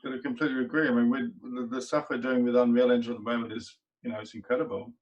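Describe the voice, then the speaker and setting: A man's voice: mid-range voice, flat delivery, racing, muffled recording. A man hosting a casual panel, talking eagerly and repeating words as he thinks aloud. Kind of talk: casual video call panel. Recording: noisy mic with clicks.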